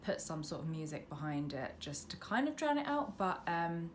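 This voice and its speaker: A woman's voice: on the low side, big pitch swings, moderate pace. A woman in her mid-twenties with a British accent, chatting casually to camera as a vlogger in an informal, self-deprecating way. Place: indoors, bedroom at a window facing a road. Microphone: camera on windowsill, about arm's length. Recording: noisy room by a busy road. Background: noise, passing traffic.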